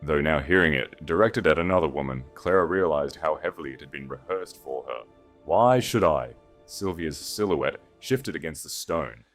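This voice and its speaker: A man's voice: very deep voice, normal speed, hardly any pauses, fairly bright tone, soft background music. A man narrating a scripted story in a dramatic storytelling style.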